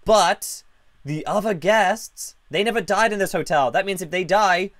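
A young man hosting an improvised Minecraft roleplay, animated and laughing as he talks over his partner. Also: sits high, moderate pace, dry recording, clean studio sound. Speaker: roleplay channel host playing a ghost hotel worker.

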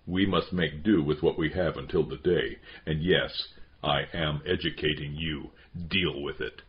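A man's voice: a bass voice, unhurried, a little hiss or hum. A man voicing a stern spy recruiter in a story, blunt and matter-of-fact. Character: stern, blunt male recruiter of spies and assassins. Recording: dull, lo-fi sound.

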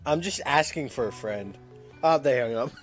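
A man's voice: mid-range voice, lively intonation, normal speed, soft background music. A young man making prank calls as a video host, chatting casually in a slangy, jokey way, his voice cracking at times. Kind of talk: casual prank-call chat.

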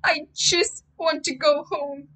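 A woman's voice: high voice, fairly even intonation, slow pace, sharp tone, recorded from a distance, pitched above the performer's own voice, heavily acted, poor audio. A woman voicing a frightened, impatient young girl in a storybook, whiny and pleading. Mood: scared.

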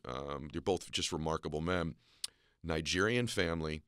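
A man's voice: low voice, a bit bright, clean tone, dry recording, studio quality. A middle-aged man hosting an interview podcast, enthusiastic and affirming toward his guest. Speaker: podcast host.

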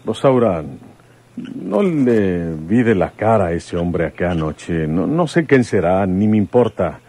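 Radio soap role: devoted country commissioner, low mellow voice, earnest. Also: male voice, big pitch swings, measured pace, full character voice. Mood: tender, earnest. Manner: plain-spoken, tender. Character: older rural police commissioner, earnest devoted suitor. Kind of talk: Spanish-language radio soap opera acting.

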